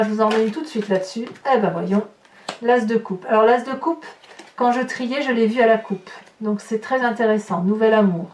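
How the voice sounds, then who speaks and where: Higher-voiced woman reading tarot, roomy echo. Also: a little mellow. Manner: exclamatory, astonished asides while turning cards. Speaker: tarot reader and channel host. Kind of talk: tarot card reading, improvised commentary to viewers. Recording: roomy echo, distant mic, background noise.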